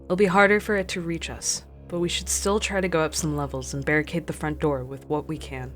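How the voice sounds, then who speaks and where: A woman's voice: low-pitched, fairly even intonation, fairly quick, lightly acted. A woman playing a stern, cautious expedition leader in a radio drama, commanding and no-nonsense. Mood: wary. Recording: faint guitar music behind.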